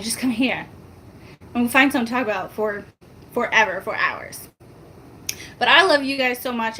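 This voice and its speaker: A soft-spoken woman, chatting casually and laughing with her viewers in a rambling, self-mocking way. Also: a little hiss or hum, poor audio. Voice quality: soft-spoken, high-pitched. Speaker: family vlogger.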